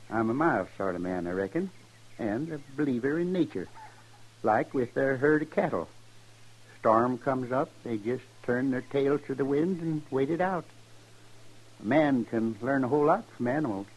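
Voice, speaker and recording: An actor playing a mild, trouble-shy homesteader in a 1950s radio western, talking in a hesitant, folksy way. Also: male voice, mid-range voice, animated, normal speed, breathy edge, poor audio. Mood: mild, philosophical.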